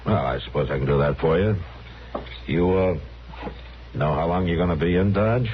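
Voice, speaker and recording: An actor playing a calm, firm, laconic frontier marshal in an old-time radio western. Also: male voice, deep voice, lightly acted. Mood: easygoing, helpful. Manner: laconic, unhurried. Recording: muffled, noisy old radio recording.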